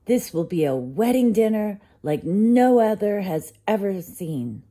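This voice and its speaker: An eager young boy fish from a children's storybook, performed by a woman. He is proud, polite and keen, with a pleading manner and a pitch raised well up. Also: very animated, measured pace, mellow.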